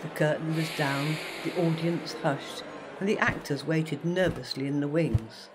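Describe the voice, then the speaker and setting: A woman, a children's author, narrating a bedtime story in a gentle, expressive way. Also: low-pitched, a little slow, heavy background noise. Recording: loud background music. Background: music.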